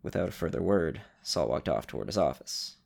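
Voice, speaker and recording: A man with a creaky voice, speaking as the storyteller and host of an audio drama show. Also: low voice, animated, brisk, a little mellow. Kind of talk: narration and casual host talk.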